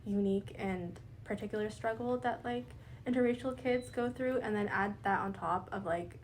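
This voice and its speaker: A woman's voice: medium pitch, moderate pace, slight background noise, low-quality recording. A young Canadian woman with Pakistani roots, talking casually and earnestly on a podcast, filling her speech with "like". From Pakistani family, raised in Canada. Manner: lots of "like" fillers, earnest, sympathetic. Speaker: podcast guest. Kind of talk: casual conversation.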